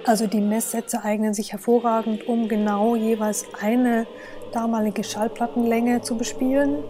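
A woman with a slightly high voice explains things like a lecturer, with music clearly playing behind her. She is measured and articulate. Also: level tone. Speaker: expert commentator.

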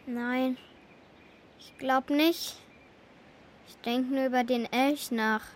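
A woman's voice: high voice, fairly even intonation, takes it slowly, slight background noise. A young woman playing a shaken but brave little girl in a children's radio play, speaking hesitantly and simply. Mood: dazed, hesitant.

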